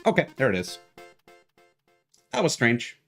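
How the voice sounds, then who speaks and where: A man livestreaming a video game, chatting casually in a rambling, halting way with long pauses. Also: mid-range voice, wildly swooping pitch, gravelly, fairly bright tone. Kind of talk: casual chat while playing a game. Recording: faint game music behind. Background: game music.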